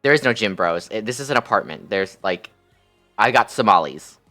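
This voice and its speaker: A man's voice: lowish voice, expressive, normal speed, bright, forceful tone, faint music behind. A man chatting casually on a livestream in a sassy, campy, slangy way peppered with swearing. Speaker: live streamer.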